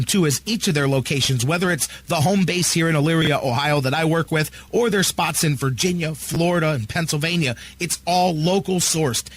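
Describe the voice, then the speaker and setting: A man's voice: on the high side, a bit bright, slight background noise. An American man hosting sports talk radio and reading ads with an enthusiastic, salesman-like delivery. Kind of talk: sports talk and live ad reads. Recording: music bed behind the voice.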